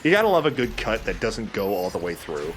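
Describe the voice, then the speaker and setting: Guy doing casual game commentary, lowish voice, bit of background noise. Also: sing-song, fairly quick. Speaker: let's play host.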